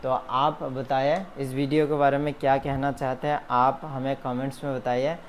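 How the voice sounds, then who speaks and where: A man giving spoken commentary to students in a halting, earnest way, phrase by phrase. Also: fairly high pitch. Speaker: education vlogger addressing exam students. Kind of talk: spoken news commentary to viewers. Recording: noticeable background ambient noise.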